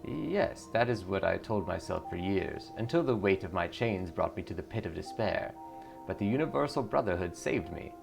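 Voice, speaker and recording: A fatherly game cult preacher , as a man plays him. He sermonizes solemnly and piously in a low, gravelly voice. Soft music sits underneath. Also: animated, moderate pace, heavily acted. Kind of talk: video game dialogue read aloud. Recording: music behind the voice.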